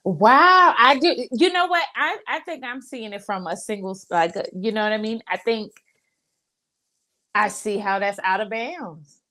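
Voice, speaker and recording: A woman's voice: on the high side, unhurried, bright, forceful tone. A woman co-hosting a casual review show, talking bluntly and profanely with mock-outraged asides. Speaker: podcast co-host. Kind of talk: casual reality TV review chat.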